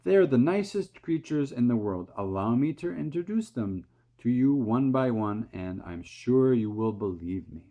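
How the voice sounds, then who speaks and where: A man's voice: mid-range voice, animated, a little slow, warm tone, highs cut off, voice pushed up. A man voicing an eager, cheerful small boy who reads out rhyming verse in a sing-song way.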